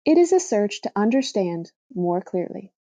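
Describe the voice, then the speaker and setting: A woman reading a reflective religious essay aloud as scripted narration, with measured, deliberate phrasing. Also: medium pitch, sing-song, mellow, no room sound. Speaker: reader of a blog post for a podcast.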